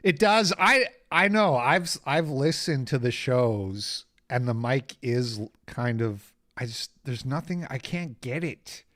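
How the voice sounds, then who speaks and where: Slow-talking raspy male streamer. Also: mid-range voice, animated, a bit bright. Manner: rambling, sarcastic, easily irritated. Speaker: host. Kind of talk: casual livestream chat.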